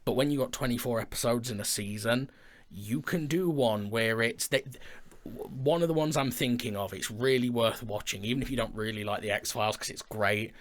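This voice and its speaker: Guy just chatting, mid pitch, jokey and keen, talking like a show creator. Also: moderate pace. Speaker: audio drama creator in conversation. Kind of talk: casual chat.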